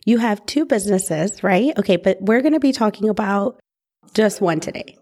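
A woman's voice: medium pitch, lively intonation, moderate pace, dark tone. A woman hosting a podcast interview in an upbeat, friendly way. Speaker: podcast host.

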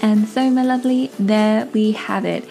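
A woman's voice: medium pitch, steady tone, moderate pace, vocal fry, mellow, soft background music. A woman hosting a podcast, gushing and affectionate, laughing often as she talks to her listeners. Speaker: podcast host. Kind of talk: warm podcast interview.

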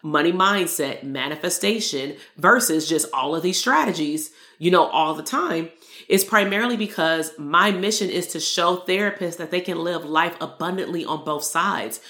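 A woman's voice: lowish voice, roomy sound. A woman hosting a solo motivational podcast as a therapist coach, talking earnestly and repeating key lines for emphasis. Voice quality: loud voice.

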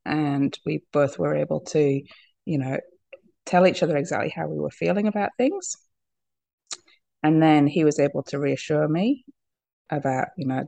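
Husky woman with a cold, laughing often. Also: low-pitched, warm tone, no room sound. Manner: laughs often, halting restarts. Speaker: podcast guest. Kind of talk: candid personal conversation.

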